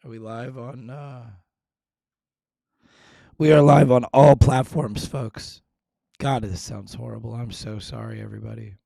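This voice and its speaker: A man hosting a live stream, his voice hoarse and raspy, talking low and clearing his throat, making fun of how he sounds. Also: steady tone, unhurried, pauses often, warm tone, dry recording, studio quality. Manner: self-deprecating, frequent throat clearing. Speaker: show host. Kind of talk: casual live-stream chat.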